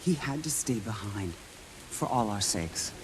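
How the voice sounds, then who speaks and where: An actor playing a resolute, earnest military commander in a video game, with a husky voice. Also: male voice, fairly low pitch, lively intonation, measured pace, background noise. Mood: grave resolve. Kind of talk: video game cutscene acting.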